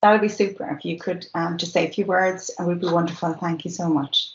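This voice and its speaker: A woman hosts an online event over a video call, chatty, friendly and full of fillers. Her voice is fairly low, and the call audio is echoey and lo-fi. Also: fairly even intonation, a little fast. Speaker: event organiser and host.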